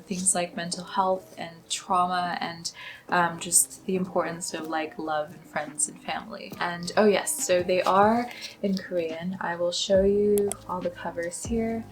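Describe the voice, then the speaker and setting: Gravelly low-voiced young woman, chatty. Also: rough recording. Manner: chatty, laughs often, upbeat. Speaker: book vlogger. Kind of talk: casual chat to camera.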